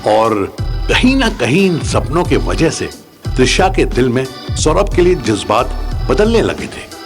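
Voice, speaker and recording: A man's voice: mid-range voice, wildly swooping pitch, measured pace. A man narrating an audio story in a dramatic, scripted style. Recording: music behind.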